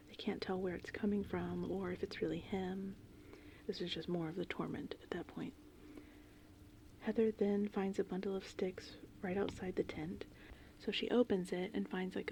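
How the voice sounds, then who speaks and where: A woman doing casual, meandering ASMR in a breathy, whispered and softly spoken voice. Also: medium pitch, slight background noise. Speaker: ASMR host. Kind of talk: ASMR rambling movie summary. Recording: steady rain and thunder ambience behind. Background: gentle rain and thunderstorm sounds.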